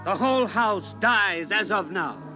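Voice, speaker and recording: A man's voice: high voice, lively intonation, measured pace, pushed and bright, faint music behind, heavily acted. An actor playing a stern, exasperated middle-aged father in a 1950s radio drama. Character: stern, exasperated father, growing uneasy and frantic.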